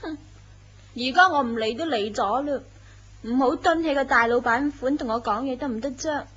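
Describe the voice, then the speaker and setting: A woman playing a coaxing, husky mistress. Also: high voice, normal speed, fairly bright tone. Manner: coaxing, teasing. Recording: dull lo-fi recording.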